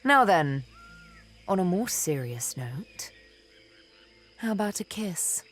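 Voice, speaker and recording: A woman playing a seductive, vengeful elven assassin and former slave in a video game, speaking in a deliberate, theatrical, teasing manner. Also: lowish voice, big pitch swings, slight background noise. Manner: deliberate, teasing, dramatic storytelling with pauses. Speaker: video game companion character.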